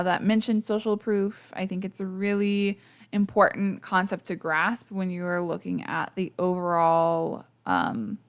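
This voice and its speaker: A young woman hosting her own podcast in a casual, chatty, self-deprecating way, sleepy and losing her voice, laughing often between lots of fillers. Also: fairly low pitch. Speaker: podcast host. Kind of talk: casual solo podcast chat. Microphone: podcast microphone.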